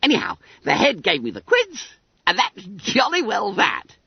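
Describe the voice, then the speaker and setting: A storybook reader voicing a boastful, fat schoolboy, pompous and gleeful, with a squeaky, trilling voice. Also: wildly swooping pitch, normal speed, creaky, breathy edge, no echo, dull audio, heavily acted. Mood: triumphant. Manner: pompous, gleeful, muddles long words. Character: boastful, fat, bespectacled schoolboy, smug and indignant.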